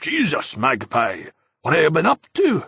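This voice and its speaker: A man's voice: fairly high pitch, huge pitch swings, measured pace, creaky, pushed and bright, husky, no room sound, voice pushed up, exaggerated voice. A man reading an audiobook, voicing an urgent, exasperated Scottish lawyer with a strong brogue. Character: stressed Scottish lawyer, middle-aged man.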